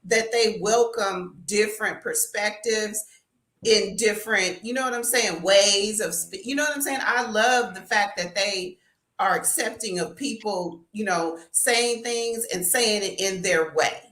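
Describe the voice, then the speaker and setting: A woman's voice: mid-range voice, unhurried, fairly bright tone, echoey room, low-quality recording. A woman on a casual live-stream chat, bubbly and conversational, often checking in with her listeners. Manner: bubbly, keeps saying "you know what I'm saying".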